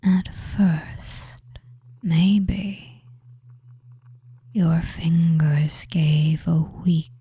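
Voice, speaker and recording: Femboy witch hypnotist, male performer: very dark, soft whisper, flat and lulling, quietly dominant, for ASMR roleplay. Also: a little high, heavily acted. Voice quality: breathy whisper. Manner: very slow, lulling, murmured commands with long pauses.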